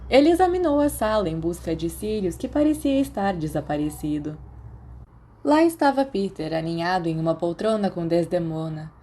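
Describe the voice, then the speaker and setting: A woman's voice: on the low side, expressive, normal speed, dark tone. A woman with a Brazilian accent reading scripted fiction as an audiobook narrator.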